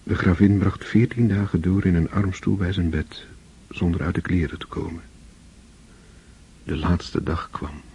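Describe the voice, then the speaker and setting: A man narrating a radio play in a measured, solemn manner. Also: low voice, fairly even intonation, dark tone, slightly rough. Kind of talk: scripted literary narration. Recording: dull lo-fi old radio recording.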